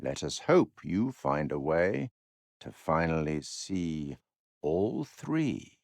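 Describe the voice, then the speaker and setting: An actor playing a refined, sardonic and ominous male curator of macabre tales, narrating a horror game in a measured, dramatic, dryly mocking way. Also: extremely low pitch, very animated, vocal fry, darkish tone, no room sound, studio quality, heavily acted. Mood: ominous, cryptic. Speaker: narrator and host figure of a horror video game. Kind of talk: theatrical scripted game narration.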